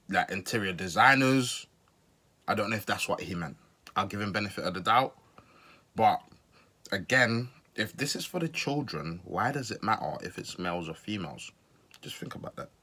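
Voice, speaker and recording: A man's voice: on the low side, bright, forceful tone. A man from Zimbabwe giving casual reaction commentary as a podcast host, incredulous and questioning, repeating his points for emphasis.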